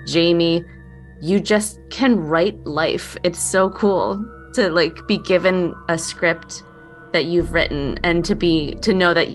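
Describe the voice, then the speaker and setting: Woman, slightly low pitch, sincere, reflective roundtable talk, soft music in background. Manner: earnest, heartfelt. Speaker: podcast guest, actor. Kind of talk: reflective casual roundtable chat.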